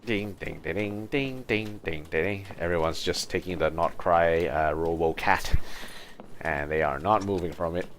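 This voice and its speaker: A man's voice: low voice, a little slow, noisy background. A man giving casual livestream commentary on a video game, often muttering to himself as he goes. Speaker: game streamer. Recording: game music behind the voice. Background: video game music.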